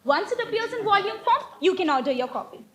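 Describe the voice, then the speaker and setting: Lady acting as girly romantic young miss, super high swoopy voice, coy, stage play. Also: sing-song, quick pace, pushed and bright, heavily acted. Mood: coy, delighted. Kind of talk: amateur stage comedy acting. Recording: stage recording with faint noise.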